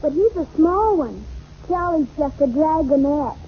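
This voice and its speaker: A woman's voice: high-pitched, animated, measured pace. A woman playing an earnest, imaginative little girl who pretends to be a fairy queen in an old radio comedy. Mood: earnest make-believe. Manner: earnest, matter-of-fact make-believe. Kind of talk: 1940s radio comedy acting. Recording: muffled, noisy old radio recording.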